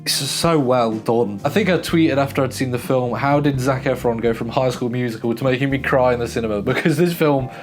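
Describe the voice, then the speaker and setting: A man hosts a casual film review in an emphatic, expressive way, often restarting his sentences. His voice sits at a middle pitch and still carries a throat that is getting over being sore. Faint guitar music plays quietly behind him. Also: brisk, few pauses.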